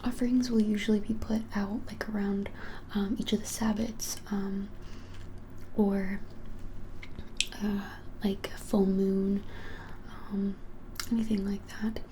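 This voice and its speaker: Young woman whispering, dark soft tone. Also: mid-range voice, level tone, slight background noise. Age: 20s. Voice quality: breathy, unvoiced whisper. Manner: soft, hesitant, halting, helpful explaining. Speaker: ASMR creator playing a witch shop keeper. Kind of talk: whispered ASMR roleplay, casual and conversational. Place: small plain indoor room.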